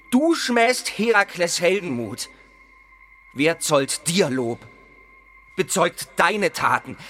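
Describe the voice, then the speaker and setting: Indignant old father, slow, swooping. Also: on the high side, bright, forceful tone, heavily acted. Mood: wounded indignation. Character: Amphitryon, indignant aged father defending his heroic son. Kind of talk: theatrical radio play acting. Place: home, online video call. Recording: noisy home recording.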